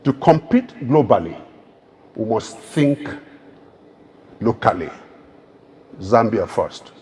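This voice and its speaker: A man's voice: fairly low pitch, big pitch swings, takes it slowly, a little mellow, slightly rough. A Nigerian man with an Igbo accent giving an emphatic, rousing public speech as a keynote speaker. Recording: long reverb of a large hall.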